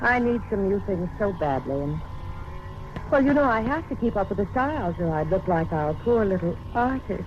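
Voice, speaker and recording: An actress playing a vain, catty sister with a cutting, condescending manner in a 1950s radio drama. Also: female voice, medium pitch, lively intonation, normal speed, noisy background, lightly acted. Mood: airy, defensive. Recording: muffled vintage radio recording with music.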